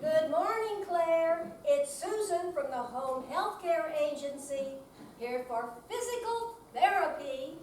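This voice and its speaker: Chipper physical therapist acted by a woman, high voice, measured slow pace, instructive, echoey distant recording. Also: expressive, darkish tone. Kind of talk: readers theater dramatic reading. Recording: echoey room, distant mic, faint noise.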